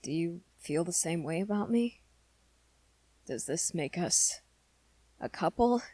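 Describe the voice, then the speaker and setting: A woman's voice: lowish voice, expressive, dark tone. A woman voicing an awkward, earnest teenage werewolf boy in an intimate, hesitant ASMR roleplay. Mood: earnest, nudging. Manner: hesitant, halting, rambling confession to listener.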